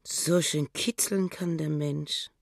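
A woman's voice: deep voice, fairly even intonation, slow, creaky, exaggerated voice. A woman playing a vain, indignant middle-aged wife in a radio play, gossipy and complaining. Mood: smitten.

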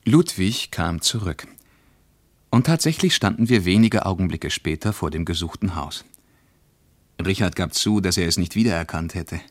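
Very deep-voiced, measured traveller. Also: male voice, expressive, no echo. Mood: measured recounting. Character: observant male traveller recounting a journey from a diary. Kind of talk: scripted radio play narration.

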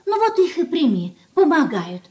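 An anxious old mother of a merchant household in a Soviet radio play. Her voice sits fairly high. She coaxes, fusses and placates in a homely way. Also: very animated, normal speed, warm tone. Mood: caring, fussing. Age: older. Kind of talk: Soviet radio drama acting.

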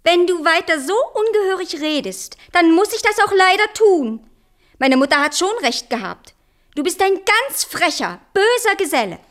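A woman's voice: very high voice, sing-song, moderate pace, fairly bright tone, full character voice. A woman playing a vain, bossy and dreamy young queen in a theatrical fairy-tale radio play. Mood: haughty, indignant. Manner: haughty, imperious, dramatic.

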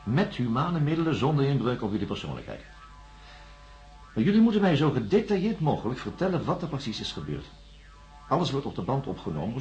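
An actor playing a calm, patient male psychiatrist in a science fiction radio drama, speaking formally and deliberately. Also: mid-range voice, lightly acted. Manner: measured, formal, deliberate phrasing with pauses. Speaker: doctor. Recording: dull lo-fi radio recording, music.

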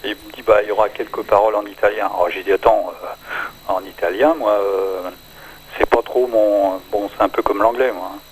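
An older man, a singer-songwriter, talking in a relaxed radio interview, hesitant and full of fillers. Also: lowish voice, slight background noise, low-quality recording. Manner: hesitant, many pauses and fillers.

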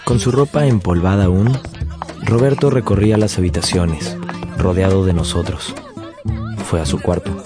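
An actor playing a nostalgic man who narrates his family's past in a measured, lyrical way for a radio drama. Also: deep voice, over music, natural voice.

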